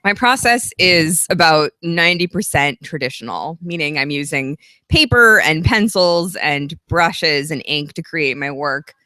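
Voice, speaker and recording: A woman's voice: low-pitched, expressive, unhurried, hardly any pauses, bright, forceful tone, dry recording. A woman in her thirties, a guest author at a live event, giving long, chatty, earnest answers. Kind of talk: casual interview at a live event.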